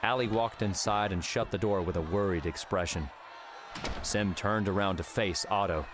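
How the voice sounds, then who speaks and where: A man reads story narration in a low voice. It is an audiobook delivery, with clearly audible outdoor ambience behind him. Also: normal speed, background noise.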